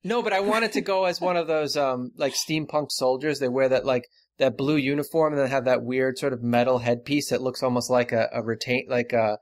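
A man's voice: mid-range voice, steady tone, brisk, few pauses, dry recording, studio quality. Male podcast guest, mid-range, informal and searching for words, no room sound. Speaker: panel guest. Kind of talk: casual panel discussion.

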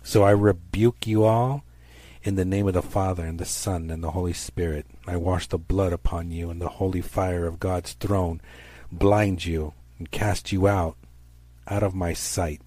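A man delivers a fire-and-brimstone sermon in a low, mellow-toned voice. His manner is stern and accusatory, with declamatory emphasis on his phrases. The sound is dry and close, without room reflections. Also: steady tone, measured pace, dry recording. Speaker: preacher.

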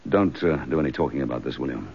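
An actor playing an embattled, indignant British police chief inspector in a radio drama, clipped, forthright and sardonic. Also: male voice, a bass voice, quick pace, creaky, a little mellow, breathy edge, heavily acted. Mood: determined. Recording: slightly dull radio drama recording.

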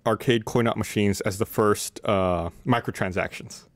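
A man's voice: fairly low pitch, steady tone, normal speed, no room sound. A man in his thirties hosting a casual panel discussion on a podcast, speaking close to a studio microphone. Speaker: podcast host. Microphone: close studio microphone on desk.

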